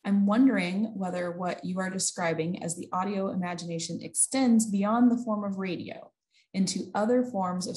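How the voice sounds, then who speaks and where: A woman's voice: on the low side, a little mellow. A woman in her thirties moderating an online talk, thanking people and reading audience questions aloud from her office. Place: office with wooden doors, indoors. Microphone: video call computer mic.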